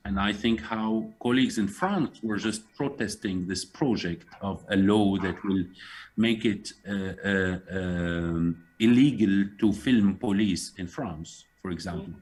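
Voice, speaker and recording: A man from Syria, speaking as a guest in a panel conversation in an earnest, measured way, often pausing to find his words. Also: lowish voice.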